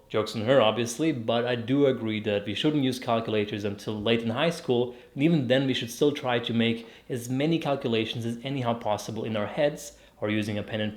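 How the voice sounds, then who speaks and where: Young man, from Croatia, educational host, methodical explainer, clear delivery, light humor. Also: medium pitch. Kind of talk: scripted explainer narration.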